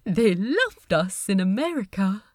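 A woman voicing a prim, sweet, bookish gentleman who dotes on his partner, fussy and polite, in an audio fiction drama. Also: mid-range voice, big pitch swings, a little slow, dry recording, clean studio sound. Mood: pleased. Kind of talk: amateur audio fiction acting.

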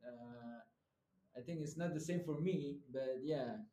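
A young man co-hosts a podcast with relaxed, chatty talk. His voice is fairly high for a man but very dark and mellow, and it rings in an echoey room. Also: a little slow. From Nepal. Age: young adult. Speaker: podcast co-host. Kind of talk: casual podcast chat.

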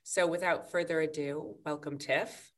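A woman hosts a podcast, her voice a little raspy and set fairly low. She talks in a calm, measured way as she welcomes her guest and asks about her journey. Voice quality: slightly raspy. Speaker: podcast host. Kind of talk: scripted intro then interview.

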